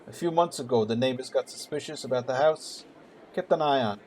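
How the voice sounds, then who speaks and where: A man's voice: medium pitch, fairly quick, a little mellow, natural voice, low-quality recording. A man playing a grim, weary police detective in a radio play, speaking in dramatic dialogue. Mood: grim, urgent. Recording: clear hum and background noise.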